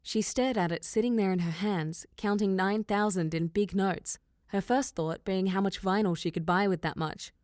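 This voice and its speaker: A woman narrates a novel as an audiobook reader. Her voice sits at an average pitch for a woman, recorded dry with very little room sound. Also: moderate pace, a little mellow, dry recording. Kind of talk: scripted fiction narration.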